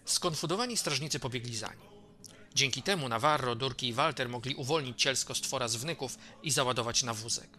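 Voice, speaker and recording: A man reads a scripted adventure recap as narrator. His mid-pitched voice is extremely bright and forceful. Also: brisk, slight background noise.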